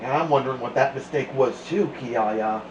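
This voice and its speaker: A man's voice: mid-range voice, normal speed. A man hosting a children's story time, reading aloud and chatting expressively. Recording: echoey room, faint background noise.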